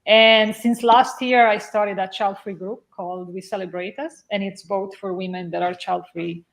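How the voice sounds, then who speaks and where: A woman's voice: medium pitch, steady tone, moderate pace. A woman from Italy, a guest on a video call, talking cheerfully and chattily about herself. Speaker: guest speaker. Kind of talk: casual video call chat.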